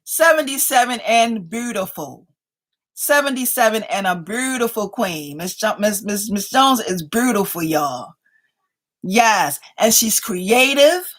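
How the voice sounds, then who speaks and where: A woman's voice: medium pitch, lively intonation, unhurried, fairly bright tone. A woman in her fifties hosting a casual livestream, chatting playfully with viewers and reading their comments aloud, speaking. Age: 50s. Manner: playful, chatty, keeps addressing viewers as y'all. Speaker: livestream host.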